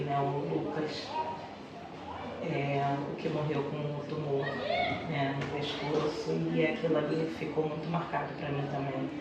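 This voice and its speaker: A middle-aged Brazilian woman, a schoolteacher speaking conversationally as an interview guest and telling personal stories. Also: low voice. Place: echoey indoor room, far from the mic. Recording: echoey room, distant mic, noisy background.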